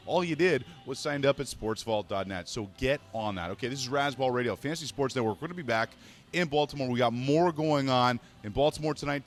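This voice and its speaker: A man from Montreal hosting a fantasy sports radio show in casual, joking chat. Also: mid-range voice. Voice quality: joking, brisk. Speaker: podcast host.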